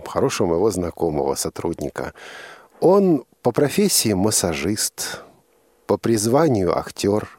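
A man's voice: on the low side, darkish tone, dry recording. A man hosting a radio show in a conversational way, addressing people informally and chattily. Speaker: radio host.

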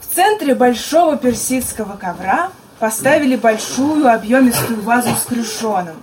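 Actress as wry, high-voiced literary narrator. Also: female voice, animated, normal speed, darkish tone, a little hiss or hum, lightly acted, low-quality recording. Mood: wry narrating. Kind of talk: theatrical narration in a live audio play.

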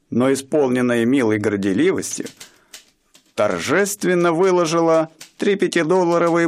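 A man narrating a radio play in a scripted, storytelling way. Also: fairly high pitch. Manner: expressive storytelling.

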